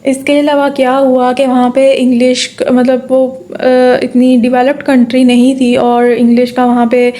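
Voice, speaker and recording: A woman's voice: high voice, monotone, moderate pace, few pauses, dark tone. A woman giving an exam-prep lecture, explaining step by step, asking and answering her own questions, with frequent fillers and check-ins. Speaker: tutor. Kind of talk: exam-prep lecture in Urdu-English mix.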